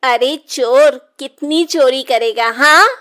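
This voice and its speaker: A woman's voice: sits high, slow pace, fairly bright tone. A woman voicing a vengeful young witch in a children's cartoon story, with a mocking, cackling manner. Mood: scolding, menacing. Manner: taunting, cackling.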